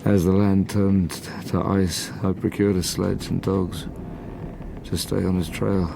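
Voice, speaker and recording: An actor playing a weary, dying, tormented scientist in a gothic audio drama, speaking gravely and haltingly. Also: male voice, deep voice, level tone. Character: weary, dying, tormented male scientist warning against ambition. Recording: clear background ambience noise.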